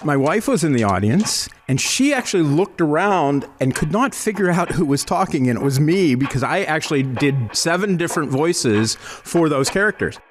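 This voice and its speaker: Man chatting with lively, varied intonation. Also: fairly high pitch, moderate pace, slight background noise. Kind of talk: casual anecdotal chat.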